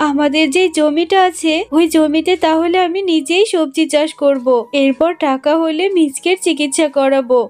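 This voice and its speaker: Woman acting high-voiced, worried fox wife. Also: steady tone, moderate pace, hardly any pauses, darkish tone, lightly acted. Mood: worried, pleading. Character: devoted, worried fox wife and mother, struggling farmer. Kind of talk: dramatised Bangla cartoon story.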